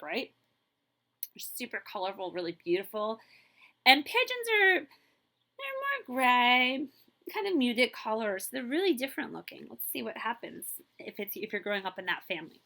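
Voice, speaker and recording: A woman librarian reading a picture book aloud to children in an expressive way, with drawn-out emphasis and a habit of addressing her listeners. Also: a little high. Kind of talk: children's read-aloud storytelling with asides to listeners.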